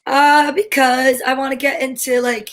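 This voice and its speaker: A woman's voice: sits high, little pitch movement, moderate pace, a bit bright. A woman co-hosting a livestream in casual, chatty banter. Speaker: co-host of a livestream show.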